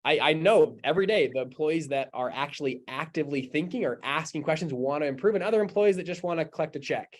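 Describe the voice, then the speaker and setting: A man's voice: a little high, quick pace, hardly any pauses. A man hosting an interview, talking in an eager, enthusiastic way, full of fillers like "like" and "you know". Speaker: podcast host, entrepreneur. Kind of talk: casual interview talk.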